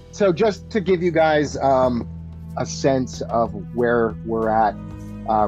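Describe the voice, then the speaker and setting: A man running a tabletop roleplaying game as game master, casual and conversational, with some halting phrasing. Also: medium pitch, darkish tone. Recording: dull, muffled audio with music behind. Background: background music.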